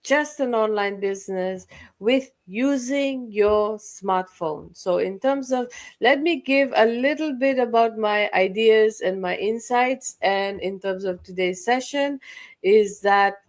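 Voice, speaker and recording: A woman hosts a motivational online talk in an earnest way. She repeats phrases for emphasis and lists points one by one. Her pitch sits in a middle range for a woman. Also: slow. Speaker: host.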